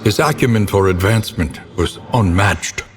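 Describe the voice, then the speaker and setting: Very deep, brooding gothic narrator, acted by a man. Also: expressive, moderate pace, close to an everyday voice. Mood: grim, ominous. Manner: solemn, theatrical, ornate phrasing. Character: grim, brooding male narrator of dark gothic tales. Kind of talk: dramatic scripted gothic narration. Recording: long reverberant tail, clear background noise.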